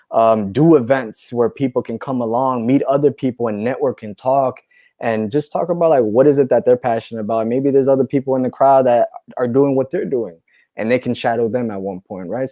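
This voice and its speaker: A man talks loosely and at length as a guest, circling back with small asides and checks for agreement. His voice is mellow and middle-pitched, and he sounds a little tipsy from the wine. The sound is dry, with no room echo. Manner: relaxed, rambling storyteller, says "you know" and "right?" a lot. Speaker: clothing brand founder, guest. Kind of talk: casual conversation.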